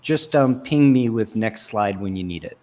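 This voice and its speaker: A man's voice: fairly low pitch, normal speed, mellow. A man hosting an online panel, introducing the guest and handing him the floor in a friendly, joking way. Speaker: host. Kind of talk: hosting a video call panel.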